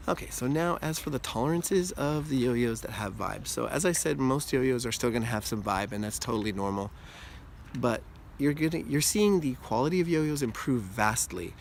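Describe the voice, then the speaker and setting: Guy with a bit of a cold, hoarse, rambling casual explainer voice, faint room hum. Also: medium pitch. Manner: relaxed, rambling explanation with frequent "you know" and "like". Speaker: yo-yo channel host. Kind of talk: casual explanatory talk to camera.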